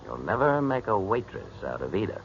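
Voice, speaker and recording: An actor playing a suave, idle playboy with a flippant, sardonic manner in 1940s radio drama style. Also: male voice, on the low side, big pitch swings, fairly quick. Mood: cool, sardonic. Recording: dull, noisy 1940s radio recording.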